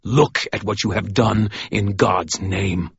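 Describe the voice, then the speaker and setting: A man voicing a stern middle-aged holy warrior who preaches loudly and righteously. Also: fairly high pitch, animated, takes it slowly, vocal fry, breathy edge, no room sound, lo-fi sound, voice pushed up, exaggerated voice. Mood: righteous fury.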